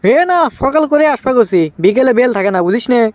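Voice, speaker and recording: A man's voice: very high-pitched, expressive, quick pace, no echo. A man voicing a village father who scolds and coaxes his grown son, in comic cartoon dialogue with a Bogura accent from northern Bangladesh. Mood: bossy. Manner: bossy, scolding, then coaxing.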